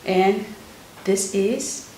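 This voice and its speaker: A woman's voice: mid-range voice, extremely slow, darkish tone. Casual female vlogger, mid-twenties, from Florida, chatting to an audience in a roomy space with faint noise. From Florida, United States. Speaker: family vlog host, soldier. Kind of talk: casual chat to viewers, Q&A.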